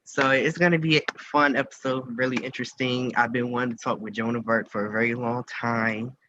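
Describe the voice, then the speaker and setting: A man's voice: mid-range voice, steady tone, brisk. A man hosting a radio show, chatting casually in an informal, rambling interview style. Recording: muffled lo-fi audio with noticeable hiss.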